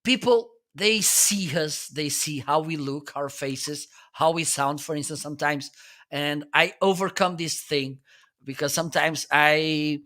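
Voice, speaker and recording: Slow, bright-voiced man. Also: a little high. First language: Portuguese. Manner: earnest, checks in with "okay?". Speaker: live show host. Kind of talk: casual live-stream chat.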